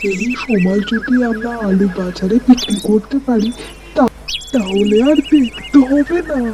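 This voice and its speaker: A woman voicing an excitable, pious, gullible male farmer in a cartoon, exclaiming and repeating phrases over animal sounds. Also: on the high side, a little slow, noisy background, natural voice. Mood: excited. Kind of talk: animated storybook cartoon dialogue.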